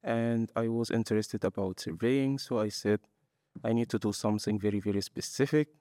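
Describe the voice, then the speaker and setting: A man's voice: lowish voice, moderate pace, dark tone, no echo, studio quality. A young man from Egypt, a master's student guest earnestly explaining his choices step by step in a podcast interview. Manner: earnest, explains step by step in non-native English.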